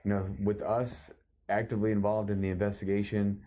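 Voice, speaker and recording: A man's voice: fairly low pitch, level tone, a little fast, dark tone. A male police officer talking informally and matter-of-factly with witnesses, explaining procedure step by step. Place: police station lobby. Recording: noisy recording with clicks.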